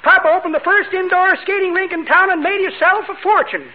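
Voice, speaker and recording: A man's voice: very high-pitched, fast talker. An actor playing a chipper old man with an American accent who rambles through folksy tall tales in a radio comedy. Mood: spinning a yarn. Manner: folksy, rambling yarn-spinner. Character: chipper tall-tale-telling old codger. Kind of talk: 1940s radio comedy acting. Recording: muffled, noisy old radio broadcast.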